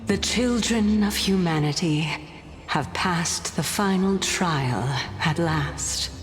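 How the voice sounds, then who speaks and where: A mysterious, gentle titan woman for a video game. She speaks slowly and formally in a lowish voice, wistful and knowing, with long reverb around her words. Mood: composed, knowing. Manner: measured, formal, theatrical. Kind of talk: scripted video game voice acting. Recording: long reverb, music behind. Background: game music.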